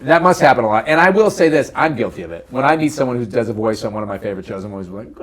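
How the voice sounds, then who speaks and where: Animated man hosting a casual chat. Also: mid-range voice, very fast. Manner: jokey, acts out quoted lines. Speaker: talk show host.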